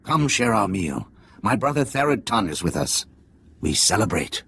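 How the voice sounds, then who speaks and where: A shrewd undercover spy in a video game, speaking very low with a gravelly edge. The delivery is dry and measured, yet the pitch swings widely as he explains. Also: big pitch swings, bright, forceful tone, slight background noise, exaggerated voice. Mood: welcoming, formal. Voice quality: gravelly, slightly husky. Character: undercover Imperial agent posing as a native, wry, shrewd. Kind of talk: video game cutscene acting.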